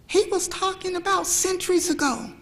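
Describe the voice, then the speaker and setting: A woman's voice: extremely high pitch, normal speed, a bit bright. A woman speaking as a guest at a church event. She talks conversationally, checks in with the audience and drops to a near whisper to stress a point. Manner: conversational, asks the audience "okay?". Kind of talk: public talk on history. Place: church meeting room.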